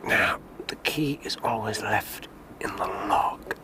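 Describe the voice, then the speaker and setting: A low, urbane English detective. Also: male voice, slow pace, background noise. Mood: hushed, assured. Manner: theatrical, urbane, formal diction. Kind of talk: radio drama acting.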